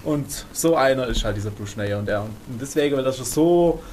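Man, medium pitch, casual explanatory chat, podcast co-host, slight background noise. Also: expressive, brisk, a little mellow.

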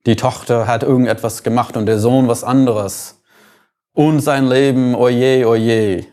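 A man's voice: medium pitch, fairly even intonation, a little slow, mellow. American man lecturing in careful German. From United States. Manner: thoughtful, searching for words, everyday examples. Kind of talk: explanatory lecture.

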